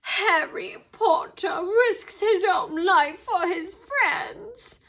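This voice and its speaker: A woman's voice: very high-pitched, extremely slow, a bit bright, pitched above the performer's own voice, full character voice. A woman voicing a small male house elf for a storybook, servile, fearful and anguished, squealing and moaning as he pleads. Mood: desperate pleading. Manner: pleading, stammering, tearfully dramatic.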